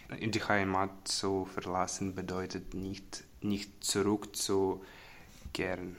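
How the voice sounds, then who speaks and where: A man's voice: low-pitched, monotone, takes it slowly, a bit bright. A seventeen-year-old boy with a Ukrainian accent gives brief interview answers. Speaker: interviewee, refugee student.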